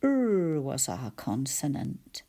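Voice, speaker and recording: A woman with a soft, often whispered voice teaching spelling and sounding out letters one by one. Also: rumbling low, big pitch swings. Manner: slow, soft-spoken, sounds out each letter.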